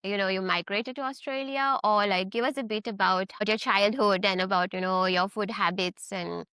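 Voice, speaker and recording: A woman's voice: mid-range voice, fairly even intonation, moderate pace, bright, forceful tone, clean tone, no room sound. A woman co-hosting an interview, asking a guest long, friendly, rambling questions. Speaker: co-host.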